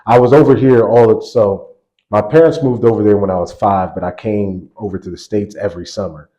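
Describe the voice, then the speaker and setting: Laid-back man with a low voice. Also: a little fast, highs cut off. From grew up on a US army base in Germany. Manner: relaxed, laughs often, lots of "like" and restarts. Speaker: college basketball assistant coach, guest. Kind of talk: casual interview storytelling.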